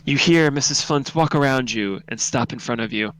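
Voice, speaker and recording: A man's voice: medium pitch, normal speed, fairly bright tone, rough recording. A man narrating a second-person horror story in a dramatic, solemn, intense reading.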